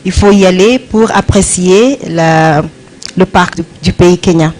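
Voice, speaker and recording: A woman working as an interpreter renders a speech aloud, pausing now and then to find her words. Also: lowish voice, darkish tone. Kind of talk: spoken consecutive interpreting of a speech. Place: live event beside the speaker.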